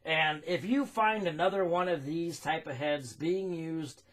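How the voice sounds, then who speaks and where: A man with an American accent giving an informal technical explanation as a demonstrator, in a deliberate, halting way. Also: fairly high pitch. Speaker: phonograph recording demonstrator.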